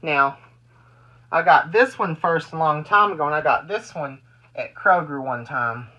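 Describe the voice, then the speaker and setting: A bright, forward voice with a medium pitch talks through a hobby demonstration. The delivery is casual and rambling, circling back to repeat and restart phrases. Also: unhurried, lo-fi sound. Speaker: amateur video maker demonstrating weather radios. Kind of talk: casual hobby show-and-tell.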